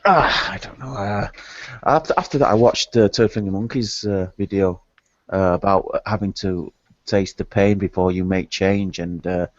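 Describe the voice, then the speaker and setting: Halting, low-voiced man on a call. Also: dry recording. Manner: halting, stammering, musing. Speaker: guest. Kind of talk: casual online discussion. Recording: dry, dull lo-fi call audio.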